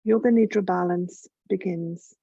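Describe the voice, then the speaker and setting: A woman leading a guided yoga nidra meditation in a gentle, calm, measured voice with long pauses. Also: fairly low pitch, no echo, dull audio. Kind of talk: slow guided relaxation meditation narration.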